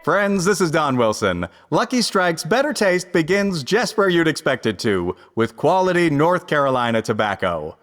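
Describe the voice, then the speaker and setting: An actor playing a jovial, polished radio announcer, reading ads and introductions in 1950s style. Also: male voice, on the high side, big pitch swings, normal speed, hardly any pauses, fairly bright tone, big-room sound, faint music behind. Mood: upbeat selling.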